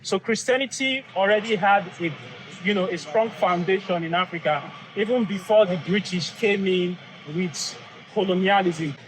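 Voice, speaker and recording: A young man with a strong Nigerian accent speaks with firm confidence, explaining history to a questioner. His voice sits fairly high, and faint outdoor ambience runs underneath. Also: background noise. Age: young adult. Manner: firm, assertive, quick to agree and build his point. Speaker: college student in a campus debate. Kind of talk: explaining history in a public debate.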